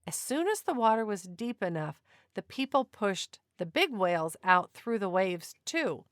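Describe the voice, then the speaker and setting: Expressive woman reading a children's story, very lively pitch. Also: a little slow, no echo, studio quality. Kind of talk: children's picture book read-aloud narration.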